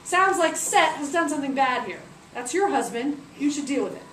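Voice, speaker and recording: A woman's voice: high voice, animated, normal speed, a bit bright, voice pushed up. Woman doing a grumpy impatient sun god. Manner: irritable, brusque. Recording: roomy, distant mic, background noise.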